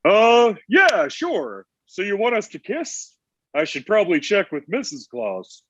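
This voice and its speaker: A man acts out an insecure, petulant Santa for a comedy table read. The high voice swings widely and drags along slowly, over dull, muffled audio. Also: huge pitch swings, a bit bright, slightly rough, heavily acted. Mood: hesitant, puzzled.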